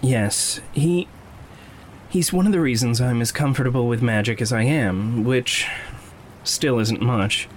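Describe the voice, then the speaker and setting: A man's voice: medium pitch, unhurried, a little hiss or hum, close to an everyday voice. A man playing a shy, flustered young regular in a fiction podcast, speaking in an awkward, hesitant, earnest way. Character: shy, flustered male regular in a fantasy drama. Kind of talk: naturalistic fiction podcast acting.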